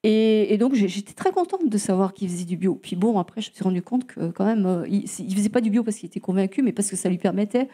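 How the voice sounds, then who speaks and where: A woman's voice: medium pitch, animated, quick pace, hardly any pauses, dark tone. A woman from Alsace answering interview questions about her nature charity's land, talking hesitantly with many fillers and restarts, and telling stories as she goes. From Alsace, France. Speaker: guest, nature charity representative.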